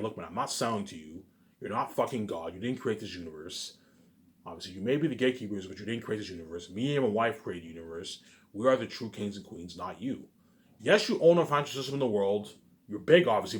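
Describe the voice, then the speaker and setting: A man talking casually in a rambling, repetitive monologue, swearing often and swinging between loud emphatic bursts and quieter asides. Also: fairly low pitch. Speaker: vlogger.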